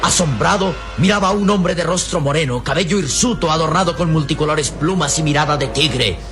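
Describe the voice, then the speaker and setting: A man's voice: a little high, fairly quick. A man narrating a radio serial in a theatrical, suspenseful way. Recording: old radio serial with music underneath.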